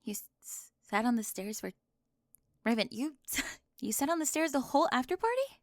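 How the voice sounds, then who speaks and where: Woman acting a high-voiced, flustered bossy teenage girl. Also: very animated, no room sound, clean studio sound. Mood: exasperated, animated. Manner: halting, often dropping into breathy whispers. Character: talkative, bossy, flustered high school girl who plans everything. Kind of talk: ASMR roleplay acting.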